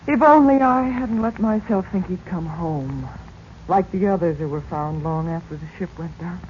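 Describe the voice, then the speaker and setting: A woman's voice: fairly low pitch, normal speed, a little mellow, close to an everyday voice. An actress playing an anxious, grieving middle-aged widowed mother in a 1940s radio drama. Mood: anguished, anxious. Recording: dull, noisy 1940s radio recording.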